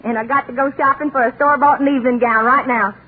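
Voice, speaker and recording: A woman's voice: high-pitched, steady tone, quick pace, close to an everyday voice. An actress playing a brash, vain, man-chasing Southern woman with a folksy, emphatic delivery in 1940s radio comedy style. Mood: boastful, teasing. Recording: noisy, tinny old radio broadcast with audience.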